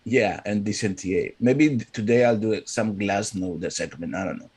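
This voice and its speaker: A man's voice: on the low side, normal speed, crowd noise. A native Greek man with a Greek accent, casually hosting a livestream chat. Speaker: livestream host.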